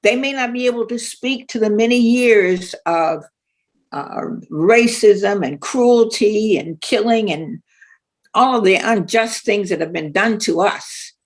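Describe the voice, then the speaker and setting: A woman's voice: mid-range voice, a little slow. A woman speaking earnestly as a panelist, repeating phrases for emphasis.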